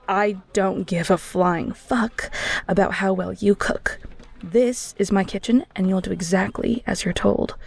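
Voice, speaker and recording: A woman's voice: medium pitch, creaky. A woman playing a blunt, bossy restaurant chef in an audio roleplay, speaking straight to the listener. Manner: stern, exasperated, blunt. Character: bossy Filipino-American restaurant chef, secretly a ghoul.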